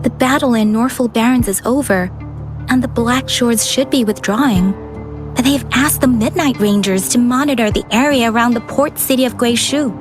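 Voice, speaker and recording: Woman doing a sweet earnest young ranger girl from a game, highish voice, music behind. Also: normal speed, lightly acted. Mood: calm, considerate. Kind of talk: video game character dialogue.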